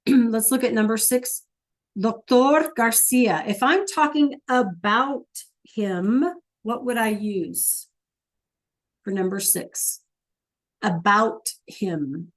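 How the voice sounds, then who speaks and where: Slow-paced woman teaching an online class. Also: mid-range voice. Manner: patient, checks in with students often. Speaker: Spanish teacher.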